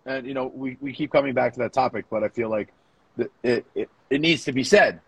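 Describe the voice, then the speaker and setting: Husky-voiced man co-hosting a casual podcast. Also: mid-range voice, fairly quick, dry recording. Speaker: podcast co-host giving coaching opinions. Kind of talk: casual discussion.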